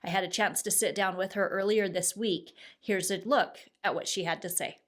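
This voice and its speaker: A woman co-hosts a podcast in an easy, mid-pitched voice. She sounds enthusiastic and encouraging as she chats with her co-host and brings in the week's guest. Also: steady tone, moderate pace. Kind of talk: casual chat and show introduction.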